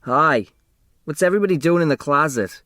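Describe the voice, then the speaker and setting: A man's voice: on the high side, expressive, fairly quick, pushed and bright, dry recording, studio quality, pitched above the performer's own voice. A man voicing a pushy, self-pitying woman secretary in a storybook, chatty and self-dramatising. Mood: chirpy.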